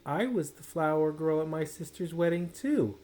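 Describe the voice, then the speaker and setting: A man's voice: fairly high pitch, expressive, normal speed, warm tone, close to an everyday voice. A man voicing an eager, shy little copycat schoolgirl in a children's storybook, childlike and keen to please.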